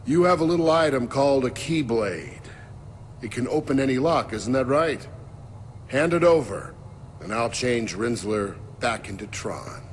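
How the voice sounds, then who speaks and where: A man's voice: medium pitch, expressive, measured pace, slight background noise, natural voice. A man playing a cold, calculating computer program villain in a video game, clipped, formal and menacing. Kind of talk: video game cutscene voice acting. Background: game music.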